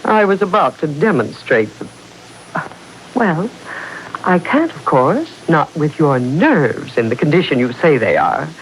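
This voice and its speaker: An actress playing a mysterious, commanding woman with formal, deliberate speech in a 1940s radio serial. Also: deep voice, sing-song, low-quality recording. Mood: mocking, menacing. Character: mysterious, commanding woman who claims controlling powers. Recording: hissy 1940s radio broadcast.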